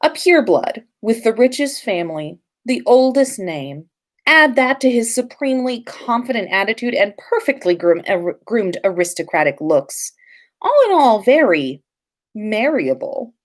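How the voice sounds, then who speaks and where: A woman's voice: on the high side, very animated, unhurried. A woman hosting a livestream, chatting casually and reading stories aloud in an informal, playful way. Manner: informal, playful, sweary, self-interrupting. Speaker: podcast host reading listener fan fiction.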